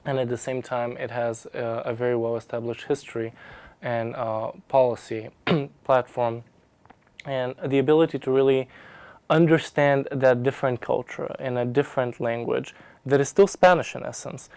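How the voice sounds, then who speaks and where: Young man, academic testimonial. Medium pitch, crisp clear voice, formal wording, dry recording. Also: fairly even intonation, moderate pace, a little mellow, dry recording. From Bulgaria. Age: young adult. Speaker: research scholar, graduate student. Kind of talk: prepared personal testimonial to camera.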